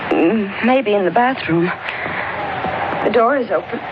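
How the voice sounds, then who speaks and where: Actress as anxious young bride, noisy vintage radio. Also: female voice, medium pitch, a little slow, close to an everyday voice. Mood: frightened. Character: anxious young bride trying to stay rational. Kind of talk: 1940s radio mystery acting. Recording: muffled, very noisy old radio broadcast.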